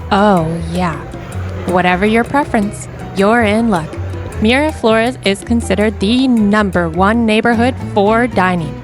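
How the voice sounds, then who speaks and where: A woman narrating a travel vlog in an upbeat, scripted tour-guide voice-over. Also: medium pitch. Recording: voice-over with music behind.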